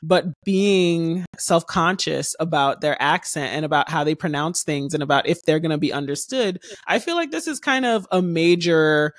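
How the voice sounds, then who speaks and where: A woman's voice: sits low, moderate pace, hardly any pauses, no echo, studio quality. A woman from Haiti who grew up in the US, hosting a podcast, talking at length with many fillers. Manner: talkative, warm rambling with many fillers. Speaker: podcast host. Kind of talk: casual interview.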